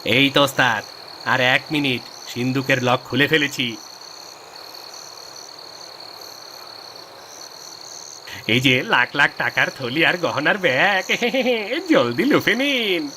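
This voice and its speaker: A man voicing a cheeky, cowardly burglar sidekick in a cartoon, with a wheedling, excitable manner. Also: medium pitch, measured pace, lightly acted. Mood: excited. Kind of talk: comic cartoon dubbing. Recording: clear night insect noise behind. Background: crickets, frogs, night insects.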